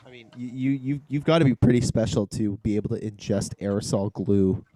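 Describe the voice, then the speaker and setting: Mellow, low-voiced guy rambling. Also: fairly quick. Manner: rambling, filler-heavy explaining. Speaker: podcast co-host. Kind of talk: casual chat.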